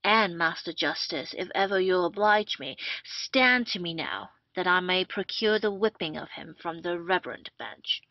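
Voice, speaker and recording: A woman's voice: lowish voice, lively intonation, moderate pace, hardly any pauses. A woman playing a vengeful, theatrical male army captain in an old stage comedy, taunting in old-fashioned English. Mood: gloating, vengeful. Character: vengeful gloating male army captain, first posing as constable. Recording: muffled lo-fi roomy home recording.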